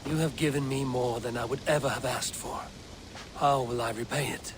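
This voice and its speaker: A man playing a steadfast, solemn Viking warrior leader in a video game, speaking gravely and with command. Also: medium pitch, steady tone, moderate pace, lightly acted. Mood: solemn, consoling. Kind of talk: dramatic video game acting. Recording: battle and ambient noise behind.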